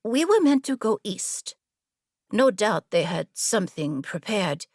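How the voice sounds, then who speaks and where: A woman's voice: medium pitch, big pitch swings, unhurried, darkish tone, dry recording, clean studio sound. A woman voicing a proud, impatient young noblewoman warrior with a brusque, challenging manner in a fantasy audiobook. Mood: scornful. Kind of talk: audiobook fantasy character dialogue.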